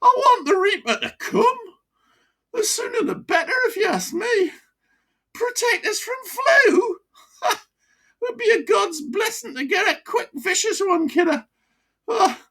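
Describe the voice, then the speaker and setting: A woman's voice: very high voice, animated, unhurried, fairly bright tone, pitched above the performer's own voice, exaggerated voice. A woman voicing a breathless old male ex-miner from Sunderland with a broad local accent and blunt gallows humour. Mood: grim joking. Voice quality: breathless, broad dialect, cackling. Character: old wheezing Sunderland ex-miner man, grimly jokey.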